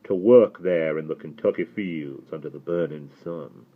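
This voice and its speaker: A man voicing a learned, courtly rabbi with a Southern accent, speaking deliberately and formally in a storybook reading. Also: very deep voice, slightly rough, full character voice. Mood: warm, confiding. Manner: deliberate, soft-spoken, grandly formal. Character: courtly, learned, severe-looking but warm Southern rabbi. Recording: thin, phone-like narrow band.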